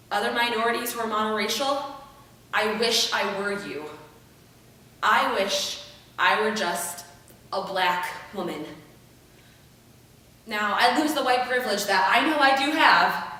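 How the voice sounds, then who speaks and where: A young adult woman performing a rehearsed spoken-word monologue with emphatic, theatrical delivery and deliberate pauses. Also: sharp tone. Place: room without an audience. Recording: echoey room, distant mic, rumbling noise.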